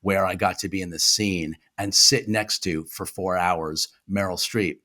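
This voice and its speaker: A man's voice: low voice, steady tone, unhurried, bright, forceful tone. A man from New York, chatting as a podcast guest in a rambling, self-deprecating way with many restarts. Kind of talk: casual chat.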